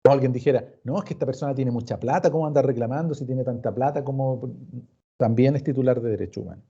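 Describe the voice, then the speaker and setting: A man's voice: mid-range voice, fast, dark tone, slightly rough. A man with a Chilean accent lecturing informally as a law professor, didactic and emphatic, often posing questions and repeating words. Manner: didactic, emphatic repetition, acts out questions and answers. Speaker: law professor teaching an online class. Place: recorded online meeting. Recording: dull, muffled online class recording.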